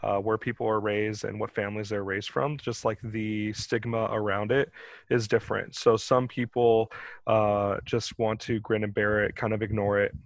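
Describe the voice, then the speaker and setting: A man's voice: fairly low pitch, little pitch movement, normal speed, no room sound. A young man on a discussion panel, talking casually and filling his sentences with "like.". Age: young adult. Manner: casual, full of "like" fillers.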